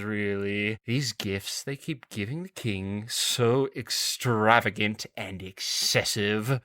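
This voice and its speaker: A pompous nobleman of a fantasy audio drama, acted by a man, talks in a florid, affected way. The sound is dry, and the pitch sits in an ordinary male range. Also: a bit bright, no echo. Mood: gossipy, disdainful. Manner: haughty, affected, florid speech.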